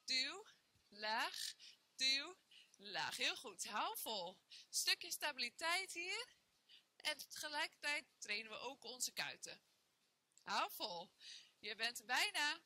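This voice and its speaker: A woman's voice: high-pitched, very animated, takes it slowly, pauses often, bright, forceful tone, no echo. A young woman leading a workout class, counting reps and cheering on her students while she exercises along in a bare indoor room. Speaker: fitness trainer. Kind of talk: guided workout instruction.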